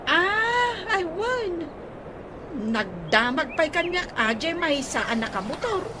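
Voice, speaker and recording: A woman's voice: very high voice, very animated, slow, exaggerated voice. A woman playing an eager, star-struck, defensive younger woman in a comedy radio drama, gushing and pleading. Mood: evasive. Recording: radio drama with clear background noise.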